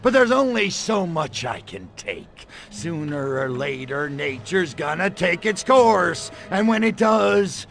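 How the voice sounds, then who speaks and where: A man playing a cocky, lecherous little alien invader in a video game, with a gravelly voice and brash, slangy wisecracks. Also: on the high side, sing-song, slow pace, few pauses, fairly bright tone, faint music behind, heavily acted. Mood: brash, irritated. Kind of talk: video game cutscene voice acting.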